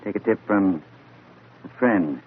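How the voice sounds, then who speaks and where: Authoritative older radio-play police inspector, blunt, on a tinny, noisy recording. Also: male voice, sits low, measured pace. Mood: stern, businesslike. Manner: blunt, commanding. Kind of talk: 1940s radio acting. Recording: narrow-band old radio recording, loud noise.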